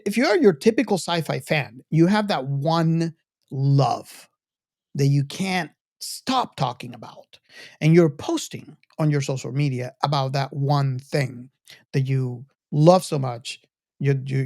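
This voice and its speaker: A man addresses his podcast audience casually and earnestly. He speaks off the cuff in halting phrases, often doubling back on words. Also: a little high, expressive, a little mellow. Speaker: podcast host. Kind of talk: casual, unscripted talk to the audience.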